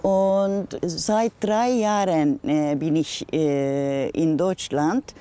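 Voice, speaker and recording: An older woman from Turkey with a Turkish accent, speaking haltingly with many fillers, outdoors by a river. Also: low-pitched, dark tone, clear, resonant tone. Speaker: interviewee.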